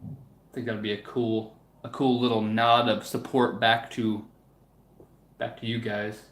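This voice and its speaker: A man's voice: lowish voice, level tone, measured pace. A man chatting casually to viewers as a livestream host, in a hesitant, rambling way that often trails off. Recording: roomy, echoing room sound.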